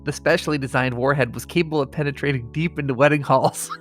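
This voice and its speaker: A man's voice: medium pitch, fast talker, faint music behind. An American man hosting a comedy podcast, reading mock obituaries with strained solemnity and chatting casually. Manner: mock-solemn reading that keeps breaking into suppressed laughter.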